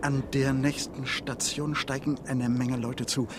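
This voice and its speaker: An actor playing a fussy, officious train conductor in a radio play, admonishing in a formal manner. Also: male voice, medium pitch, little pitch movement, a little slow, background noise, natural voice. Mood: bossy. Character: officious, fussy male train conductor, bossy yet courteous. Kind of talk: literary radio play acting.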